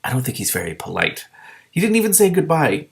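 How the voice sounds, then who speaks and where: A man's voice: on the high side, huge pitch swings, a little fast, creaky, pitched above the performer's own voice, exaggerated voice. Storybook girl, played by a male reader: blunt, sarcastic, grudging, defensive. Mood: blunt, annoyed. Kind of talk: audiobook-style character reading.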